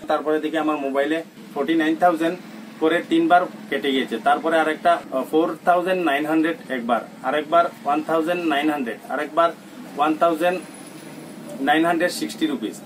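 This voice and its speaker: A man with a Barak Valley Bengali accent giving an informal, halting interview account, repeating himself and mixing in English words. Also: on the high side. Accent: Bengali of Assam's Barak Valley. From Barak Valley, Assam. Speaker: interviewee recounting an incident. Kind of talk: informal spoken account to a reporter. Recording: distant mic, noisy ambience with birds.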